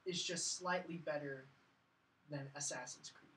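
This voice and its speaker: A man's voice: on the high side, steady tone, a little slow, bright, forceful tone, distant mic. A man chatting casually on a film review podcast, rambling a little and correcting himself as he goes. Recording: off-mic with faint background noise.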